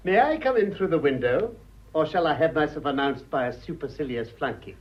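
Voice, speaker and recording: A man's voice: fairly high pitch, lively intonation, brisk, lo-fi sound. An actor playing an urbane elderly father with an upper-class English accent, drily flippant and courteous in a radio drama. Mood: playful, courteous. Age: older. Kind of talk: stage comedy of manners radio acting.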